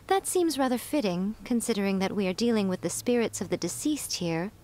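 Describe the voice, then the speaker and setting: A woman's voice: medium pitch, darkish tone, a little hiss or hum, close to an everyday voice. A woman playing an elegant, teasing fox shrine maiden in a video game, composed, playful and a little condescending.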